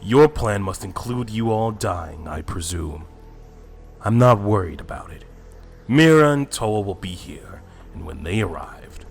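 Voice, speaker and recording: A man acts out an arrogant villain from an anime fan drama. The voice is deep and taunting, with theatrical menace in its delivery. Soft music plays underneath. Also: lively intonation, a little slow, creaky. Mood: menacing, defiant. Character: arrogant male time-destroying villain, mocking and menacing.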